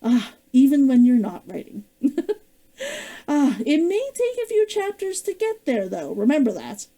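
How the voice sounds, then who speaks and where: A woman's voice: high voice, huge pitch swings, unhurried, mellow. A woman author reading her own novel aloud, then chatting about writing and laughing often. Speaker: author and streamer.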